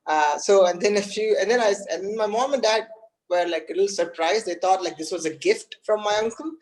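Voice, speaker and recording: A man from India whose first language is Tamil, speaking English with an Indian accent. He is a guest in a casual conversation, and he talks haltingly with lots of restarts and fillers. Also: high-pitched, rough recording. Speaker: guest game developer.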